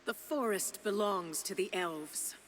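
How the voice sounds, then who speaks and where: A woman's voice: medium pitch, unhurried, slight background noise, close to an everyday voice. A woman voicing a proud elf warrior opponent in a video game, speaking in a haughty, formal manner. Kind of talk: scripted game villain acting.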